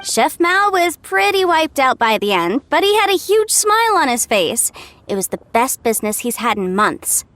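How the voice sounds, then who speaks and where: A woman's voice: sits high, very animated, normal speed, a bit bright, a little hiss or hum. An actress playing a friendly, easygoing young woman in an anime-style video game, talking in a breezy, chatty way. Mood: upbeat.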